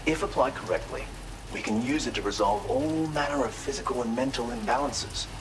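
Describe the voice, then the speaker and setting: A man's voice: medium pitch, brisk, noisy background, close to an everyday voice. An actor playing an eccentric, courteous male researcher and healer in a video game, speaking in a theatrical, formal, effusive way. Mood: earnest, explanatory. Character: eccentric, courteous male tar therapist, enthusiastic self-taught researcher. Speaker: non-player character in a video game. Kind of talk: expressive video game character dialogue.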